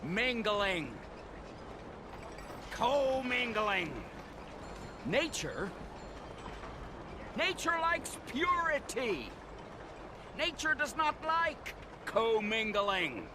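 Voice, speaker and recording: Indignant bigoted pamphleteer, video game. High, swooping, theatrical soapbox delivery, street noise behind. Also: male voice, big pitch swings, extremely slow, pauses often, heavily acted. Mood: fervent, preachy. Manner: pompous, theatrical soapbox oratory. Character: zealous bigoted male street preacher handing out pamphlets, indignant.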